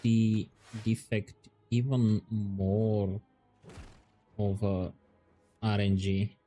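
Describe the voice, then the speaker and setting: A man streaming a game, chatting casually and musing aloud, his voice turning hoarse and scratchy. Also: on the low side, faint music behind. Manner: relaxed, musing aloud, drawn-out words. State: voice going hoarse, scratchy throat. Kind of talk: casual livestream chat while gaming.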